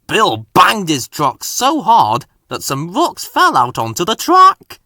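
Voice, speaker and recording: Male children's story narrator, expressive and dramatic, forceful bright tone with big pitch movement. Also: takes it slowly, no echo, clean studio sound. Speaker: storybook reader.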